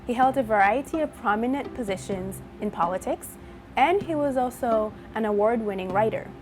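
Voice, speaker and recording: A young woman from Zambia, a PhD researcher, giving a measured, clear scripted explanation of her field. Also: on the high side, lively intonation, darkish tone. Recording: music bed underneath.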